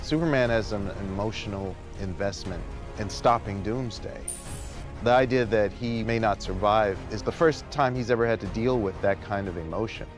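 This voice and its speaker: Male filmmaker, low register, interview commentary over light music. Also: moderate pace. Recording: music behind.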